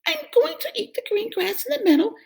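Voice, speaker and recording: A woman's voice: very high voice, animated, brisk, vocal fry, a little mellow, pitched above the performer's own voice, full character voice. A woman voicing a small, clever male billy goat who talks in a chirpy, eager way. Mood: cocky.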